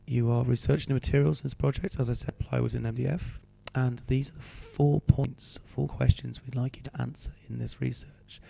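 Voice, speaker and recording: Croaky teacher with a cold. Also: male voice, medium pitch, dark tone. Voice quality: croaky, slips into whispery breaks. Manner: patient, explaining step by step. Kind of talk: homework help walkthrough.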